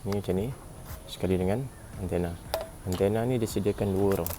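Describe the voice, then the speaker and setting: A man's voice: deep voice, fairly quick, noisy background. A Malaysian man giving a casual, informal product review, often repeating himself and tagging phrases with "eh". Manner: informal, repeats himself, frequent "eh" tags and throat clearing. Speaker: gadget reviewer.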